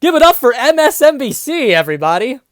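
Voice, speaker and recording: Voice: high voice, big pitch swings, fast, dry recording, studio quality. A person reading a story aloud, apparently in their twenties, breaking off into sarcastic, sweary casual commentary. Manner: sarcastic, profane asides. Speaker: reviewer reading fan fiction aloud. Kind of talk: dramatic read-aloud with mocking casual commentary.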